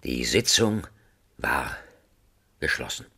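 A male narrator tells a radio drama story in a very deep voice. He takes his time, with wide, theatrical swings of intonation. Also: sing-song, slow, vocal fry, sharp tone, husky.

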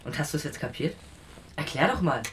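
A woman playing a girl's teasing, offhand older brother in a children's radio play, with a husky voice. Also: medium pitch, animated, fairly quick, background noise, lightly acted. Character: teasing, casual older brother of a young girl.

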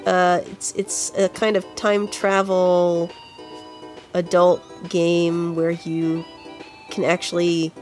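A woman's voice: fairly low pitch, flat delivery, slow, a little mellow, faint music behind. A woman with a congested, nasal voice co-hosting a podcast in a casual, chatty style. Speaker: podcast co-host. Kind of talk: casual video game music podcast chat.

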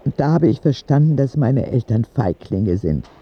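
A man's voice: medium pitch, lively intonation, normal speed, breathy edge, dull audio. A man playing a frank, cheeky ten-year-old boy who tells his story in candid, wry audio drama narration, in standard German. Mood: bitter.

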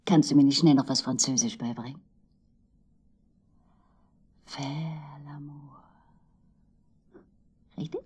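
An actress playing a giddy, infatuated, lonely woman in a radio drama, speaking intimately and haltingly in a breathy voice that often sinks to a whisper. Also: deep voice, steady tone, mellow, heavily acted. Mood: excited, confiding. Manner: halting, emotional, swinging between murmur and outburst.

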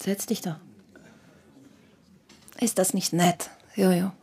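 A woman's voice: fairly low pitch, steady tone, slow, dark tone, husky, heavily acted. An actress playing a poised, politely gracious young society woman in a German radio play.

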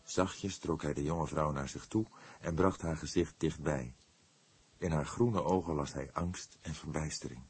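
A man reads a detective novel as a dramatic, measured and suspenseful audiobook narration. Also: a bass voice, slightly rough.

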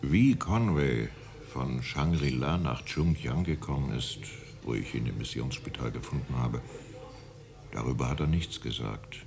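An actor playing a reflective man recounting a mystery in a radio drama, deliberate and pondering. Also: extremely low pitch, animated, darkish tone, exaggerated voice. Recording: clear murmur of people behind.

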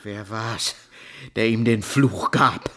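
A man's voice: fairly low pitch, takes it slowly, fairly bright tone. A man playing a scheming, villainous son in a radio drama, histrionic and accusing. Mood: feigned outrage. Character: scheming villainous son feigning shocked grief. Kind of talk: theatrical radio drama acting.

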